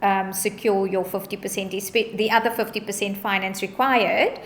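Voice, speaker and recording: A woman's voice: mid-range voice, steady tone, normal speed, a little hiss or hum, rough recording. A woman, a bond originator talking a client through a home loan in an upbeat, encouraging, reassuring way. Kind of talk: client consultation call.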